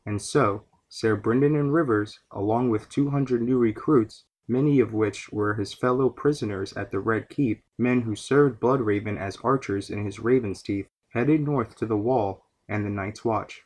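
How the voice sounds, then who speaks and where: A man narrating a scripted fan lore series as its host, in a measured, explanatory way. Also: lowish voice, darkish tone.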